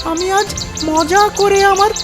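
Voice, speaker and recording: A greedy cartoon fox villain, squeals in an extremely high voice. The talk is slow, blustering and scornful, full of sly menace. Loud music plays behind it. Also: fairly even intonation. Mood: hungry, eager. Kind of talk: children's cartoon story character acting.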